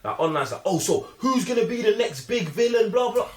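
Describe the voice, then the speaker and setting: A man's voice: high-pitched, big pitch swings, brisk, a little hiss or hum. A man with a London accent co-hosting a film review in slangy, emphatic banter, often repeating himself to push his point. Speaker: film review podcast co-host. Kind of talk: animated casual banter, slangy. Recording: roomy, echoing sound.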